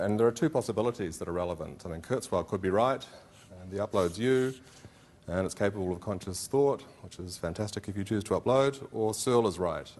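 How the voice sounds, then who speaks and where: Man, low voice, philosophy conference talk, conversational, lots of ums and restarts. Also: darkish tone, a little hiss or hum. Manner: conversational, hedging with many fillers and restarts. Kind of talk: academic conference presentation.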